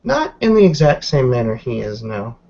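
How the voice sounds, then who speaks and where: A man's voice: medium pitch, big pitch swings, normal speed, gravelly. A man roleplaying a guarded female werewolf newcomer in a tabletop game, choosing formal words with careful hesitations. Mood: guarded, evasive. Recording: dull lo-fi voice recording.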